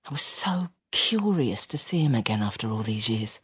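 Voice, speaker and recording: A man's voice: medium pitch, very animated, moderate pace, fairly bright tone, husky, dry recording, heavily acted. An actor playing a reflective grown son, confiding wistful memories of an absent father in an intimate radio drama monologue. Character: reflective adult son recounting his estranged father.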